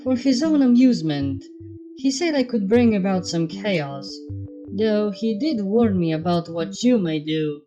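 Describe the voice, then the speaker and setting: A woman's voice: medium pitch, lively intonation, unhurried, dark tone, lightly acted. A woman voicing a guarded, modest unicorn mare in a story reading, with a dry, understated delivery. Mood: serious. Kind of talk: fan fiction character reading. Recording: dull, muffled sound under music.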